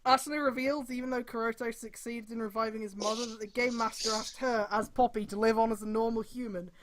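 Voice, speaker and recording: High-voiced woman reading aloud. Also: steady tone, fast, noisy background. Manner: irreverent, sweary. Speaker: streamer reading a fan fiction aloud. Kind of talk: casual mocking read-aloud with commentary.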